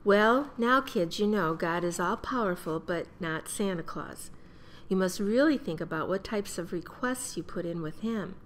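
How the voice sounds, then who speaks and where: Gentle, patient mother played by an actress, faint noise. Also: medium pitch, animated, normal speed, natural voice. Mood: gently cautioning. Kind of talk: radio play acting.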